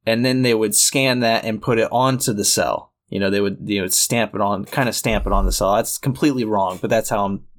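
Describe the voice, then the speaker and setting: A man's voice: fairly low pitch, fairly even intonation, brisk, hardly any pauses. A man co-hosting a podcast in casual chat, with a nasal voice, rambling and laughing often. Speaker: podcast co-host.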